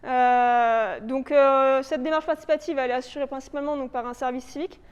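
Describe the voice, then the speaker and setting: A woman with a high, smooth voice gives an informal presentation. She explains things hesitantly, as if putting the sentences together as she goes. The sound carries the long reverberation of a meeting hall. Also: fairly even intonation, brisk. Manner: hesitant, loosely improvised explaining. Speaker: presenter for a local authority biodiversity project. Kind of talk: informal spoken presentation with slides. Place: reverberant indoor meeting room, live audience presentation.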